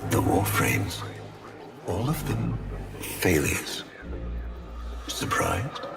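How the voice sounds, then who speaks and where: Game villain: cruel noble, very slow and theatrical, widely varied intonation, loud score behind. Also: male voice, lowish voice, big pitch swings, gravelly, heavily acted. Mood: cold, bitter. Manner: slow, measured, menacing, mocking. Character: silky, cruel aristocratic male villain, coldly grieving creator. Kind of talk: theatrical video game cutscene monologue. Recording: loud music under the voice.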